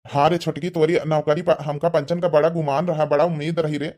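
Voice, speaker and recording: A man's voice: a little high, little pitch movement, fairly quick, darkish tone, close to an everyday voice. A man with an Awadhi accent playing a disappointed, reproachful villager in a cartoon comedy.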